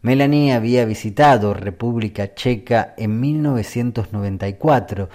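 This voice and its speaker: A man narrating a scripted radio feature as a reporter, with a measured, even storytelling delivery. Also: mid-range voice.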